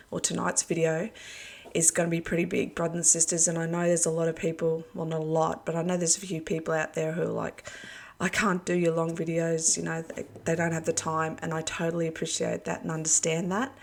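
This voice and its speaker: A woman giving a casual video address to her followers as an online preacher, her voice hoarse and going, talking earnestly and rambling with many fillers. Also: low-pitched, little pitch movement, brisk. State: losing her voice.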